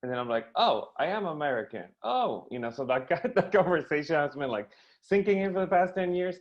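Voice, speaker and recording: A man born in Cuba, speaking as a podcast guest in a casual, lively way, with lots of "like" and "y'all". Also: a little high, brisk. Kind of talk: casual chat. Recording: dull lo-fi sound.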